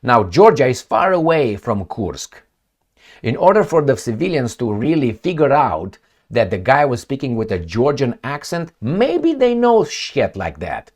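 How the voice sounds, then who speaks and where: A man's voice: mid-range voice, big pitch swings, a little slow, darkish tone. A man from Romania, giving rambling, sarcastic solo commentary on news reports, full of fillers and restarts. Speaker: political commentator. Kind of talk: casual solo commentary reading news aloud.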